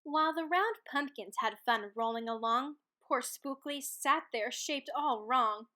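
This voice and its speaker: A woman's voice: high voice, measured pace, bright, forceful tone. A woman reading a rhyming children's picture book aloud, expressively and with dramatic emphasis on key words. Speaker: storybook reader.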